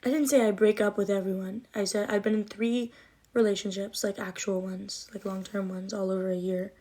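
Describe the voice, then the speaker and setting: A young woman chatting casually into her phone from home during a livestream. She rambles through answers to viewer comments, leaning on lots of fillers, and speaks with a slight lisp and trouble with her R sounds. Her pitch sits in the middle range for a woman. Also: fairly even intonation, brisk, mellow. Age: 20s. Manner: rambling and chatty, many likes and ums.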